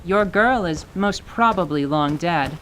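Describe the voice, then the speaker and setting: A woman playing a cheeky, stubborn young tiefling girl in radio theater, with a playful, sassy deadpan manner. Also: lowish voice, unhurried, slight background noise, low-quality recording. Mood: mock solemn. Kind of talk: dramatized radio theater acting.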